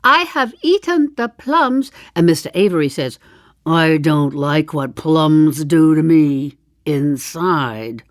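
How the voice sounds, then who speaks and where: An older actress playing a wry, chatty seventy-five-year-old woman in a darkly comic stage monologue, musing to the listener with deliberate pauses. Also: sits low, lively intonation, dry recording, clean studio sound. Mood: dryly amused. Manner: deliberate, dramatic pauses, dropping to confiding asides. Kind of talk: theatrical monologue, storytelling to the listener.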